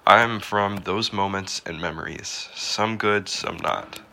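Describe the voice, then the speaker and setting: Deep, gravelly man reading a poem. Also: bright, forceful tone, a little hiss or hum. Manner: slow, gravelly recitation. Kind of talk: reading a personal poem aloud.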